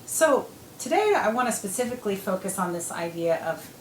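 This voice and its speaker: A woman's voice: mid-range voice, sing-song, fairly quick. A woman hosting a panel discussion as clinical director, friendly and affirming. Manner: friendly, affirming, with many backchannels. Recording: echoey room, faint noise.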